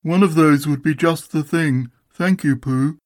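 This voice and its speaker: A storybook reader voicing a gloomy, dignified male donkey with a deadpan, ponderous, sarcastic manner. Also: medium pitch, unhurried, no room sound, pitched above the performer's own voice, close to an everyday voice. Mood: cold, dignified. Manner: deadpan, ponderous, coldly sardonic. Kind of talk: storybook character voice.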